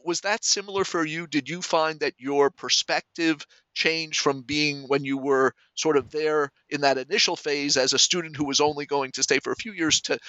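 A man's voice: on the high side, pushed and bright, dry recording. A man from the United States who interviews a guest and asks long, winding questions with repeated words. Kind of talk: conversational interview. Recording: dry, dull, lo-fi sound.

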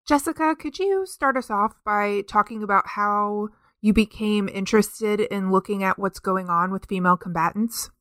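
A woman hosting an academic interview podcast, asking her questions in a measured, formal way. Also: medium pitch, a bit bright, no echo, clean studio sound. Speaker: podcast host.

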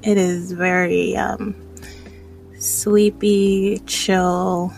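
A woman's voice: fairly low pitch, level tone, extremely slow, vocal fry, darkish tone, faint music behind. A woman in her thirties chatting casually in a personal vlog, rambling and hesitant as she reflects on herself. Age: 30s. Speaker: vlogger.

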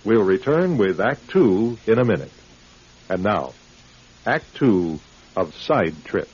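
A man announcing a radio drama programme in a deliberate, scripted style. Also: lowish voice, big pitch swings, a little mellow. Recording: dull old radio with hiss.

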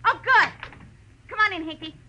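A woman's voice: very high-pitched, lively intonation, normal speed, heavy background noise. A woman playing a bossy, impatient showgirl moll in a 1940s radio crime drama, snappy and commanding.

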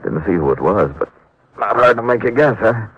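A man playing a wounded, bitter informant in an old-time radio drama, speaking slowly and weakly, in halting, laboured phrases. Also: fairly low pitch, animated, slightly rough, no room sound. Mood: bitter, pained. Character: wounded, bitter male informant in hospital bed. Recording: dull, faintly noisy old radio recording.

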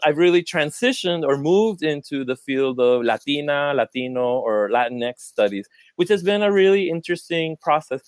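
A man's voice: medium pitch, dry recording. A man from Puerto Rico, a professor and podcast guest, talking in long reflective sentences with small self-corrections. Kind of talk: casual academic talk.

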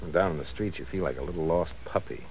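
A man's voice: low voice, quick pace, a little mellow, natural voice, low-quality recording. A man playing an ambitious young husband in an old radio drama, speaking tenderly and coaxingly to his wife. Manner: tender, coaxing, drops to a whisper. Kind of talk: 1940s radio acting. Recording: faint hiss of an old radio recording.

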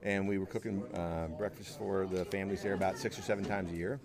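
A man's voice: sits low, steady tone, quick pace. A middle-aged man telling casual anecdotes as an interview guest, joking and acting out what people said. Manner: jokey, acts out quoted dialogue. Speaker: interview guest, costumed charity volunteer. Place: convention booth in a media room. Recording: busy convention crowd behind him.

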